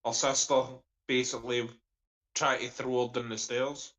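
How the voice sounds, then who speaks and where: A man's voice: mid-range voice, little pitch movement, moderate pace, a bit bright. A man giving blunt opinions in a casual discussion, using slang. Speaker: discussion panelist, security industry worker.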